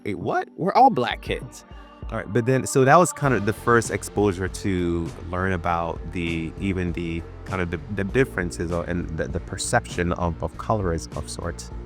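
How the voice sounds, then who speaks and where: Low-voiced man in his thirties, telling stories. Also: normal speed, soft background music. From born in Ghana, grew up in Brooklyn, New York. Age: 30s. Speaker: venture capital partner, interview guest. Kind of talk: conversational personal storytelling.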